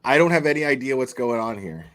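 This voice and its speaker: Man, reaction streamer, very animated pitch, slangy, sweary, mocking, casual live commentary. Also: quick pace.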